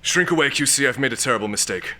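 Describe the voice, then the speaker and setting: An actor playing a vain, lecherous, cowardly space lord in a florid, grandiose manner for a comic sci-fi audio drama. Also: male voice, mid-range voice, expressive, quick pace, pushed and bright. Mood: flustered, indignant.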